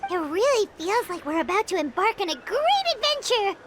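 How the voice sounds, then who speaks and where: Tiny floating girl companion, super squeaky and bouncy, music loud behind. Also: moderate pace, slight background noise. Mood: excited. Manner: high, squeaky, excitable. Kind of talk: video game voice acting.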